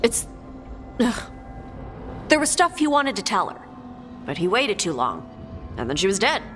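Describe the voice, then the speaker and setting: A woman playing a brash, irreverent companion in a fantasy game, informal and animated. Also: mid-range voice, a little slow. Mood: regretful. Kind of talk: naturalistic game dialogue. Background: music.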